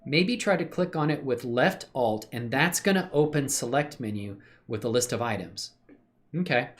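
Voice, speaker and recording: A man's voice: mid-range voice, animated, normal speed. A man hosts a livestream in a laid-back, chatty style. His mid-range voice moves up and down a great deal as he thinks aloud. He talks slangily and appreciatively to his viewers. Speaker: livestream host showing 3D animation work. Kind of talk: casual chat with live viewers.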